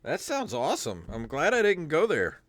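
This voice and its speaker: An American man in his fifties hosting a podcast in casual, joking chat, amused, self-deprecating and quick to laugh. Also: a little high, huge pitch swings, fairly bright tone. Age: 50s. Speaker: podcast host. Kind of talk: casual joking chat, reading aloud from old papers.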